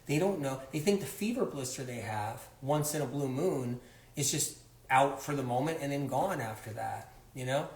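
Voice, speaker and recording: A man's voice: medium pitch, lively intonation, normal speed, darkish tone, echoey room. A man hosting a casual livestream while he cooks in his kitchen, talking in a rambling, sarcastic way into a phone. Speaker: host.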